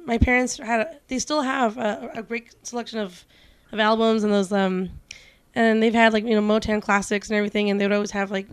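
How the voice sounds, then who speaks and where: A woman's voice: mid-range voice, clean tone, dry recording. A young woman co-hosting a casual chat, rambling with many "like"s and restarts as she recalls things. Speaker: co-host.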